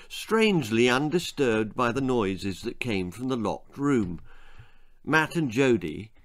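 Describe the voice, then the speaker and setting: A man reading a children's comic horror story aloud in a theatrical, suspenseful, deliberate storytelling style. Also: mid-range voice. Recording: music behind the voice. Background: music.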